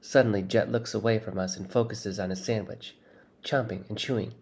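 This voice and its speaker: A man narrates a children's story in the first person. His voice is fairly low with a strong creaky fry, and the reading is scripted and expressive. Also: a little fast. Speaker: audiobook reader.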